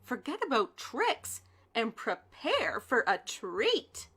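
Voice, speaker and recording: Lady doing a bubbly happy pumpkin guy from a storybook, squeaky high and bouncy. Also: huge pitch swings, takes it slowly, vocal fry, fairly bright tone, husky, heavily acted. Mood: cheery. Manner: bubbly and upbeat. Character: cheerful, friendly young male pumpkin. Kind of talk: storybook read-aloud.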